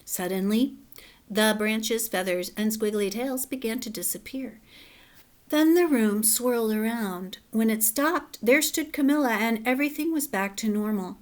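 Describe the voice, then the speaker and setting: Calm woman teacher reading aloud. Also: a little high. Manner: clear, measured and explanatory with fillers. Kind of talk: children's read-aloud and lesson.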